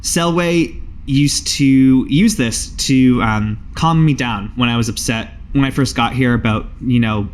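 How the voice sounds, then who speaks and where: A man's voice: medium pitch, a little slow, close to an everyday voice. A man playing an earnest, friendly young spellcaster in a tabletop game, talking in a hesitant, casual, rambling way. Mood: earnest, helpful.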